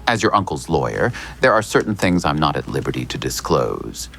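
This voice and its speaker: An actor playing a smooth, evasive small-town lawyer in an audio drama, speaking in a measured, theatrical, hinting way. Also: male voice, extremely low pitch, big pitch swings, fairly bright tone, a little hiss or hum, heavily acted. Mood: cryptic, insinuating.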